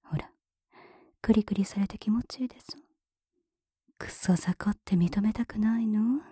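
A woman voicing a cool, mocking, dominant woman in a whispered ASMR roleplay. She speaks very close to the mic, in a soft whisper broken by taunting laughs. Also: mid-range voice, normal speed, husky, no room sound. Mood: teasing, mocking. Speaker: voice actress.

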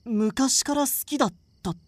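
A woman's voice: high voice, expressive, normal speed, darkish tone, no room sound. A woman playing a prim, flustered woman in an audio drama, speaking politely but scolding with indignation. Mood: doubtful, flustered. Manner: polite, scolding formal speech. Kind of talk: scripted audio drama acting.

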